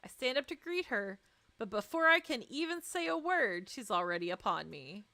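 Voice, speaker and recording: A woman's voice: a little high, big pitch swings, normal speed, bright, forceful tone, no room sound. A woman streamer reading a visual novel aloud expressively, with playful, teasing asides. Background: music.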